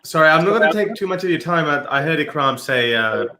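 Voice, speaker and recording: A man's voice: fairly high pitch, expressive, quick pace, pushed and bright, reverberant. A man joining a livestream as a guest, talking casually with stammering restarts. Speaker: guest, YouTuber. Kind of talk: casual chat.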